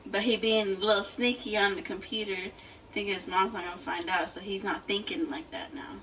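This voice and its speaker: A woman's voice: medium pitch, fairly even intonation, brisk, bright, forceful tone. A woman chatting casually as a reaction co-host, recorded a little away from the mic. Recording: slightly distant mic, faint noise.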